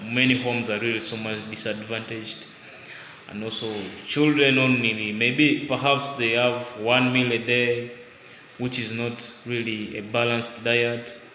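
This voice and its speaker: A man from Uganda, giving an informal health talk and often pausing with fillers and restarts. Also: medium pitch, level tone, bright, forceful tone, a little hiss or hum. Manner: halting, many fillers and restarts. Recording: echoey room with long reverb.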